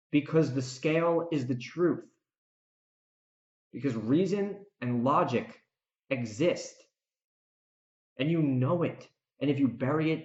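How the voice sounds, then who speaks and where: Casting: man, mid-pitched voice, solo podcaster, preachy and repetitive for emphasis, roomy lo-fi recording. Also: darkish tone. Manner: emphatic, preachy, repeats phrases for stress. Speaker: podcast host and author. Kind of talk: rambling solo podcast commentary. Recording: roomy echo, slightly dull lo-fi sound.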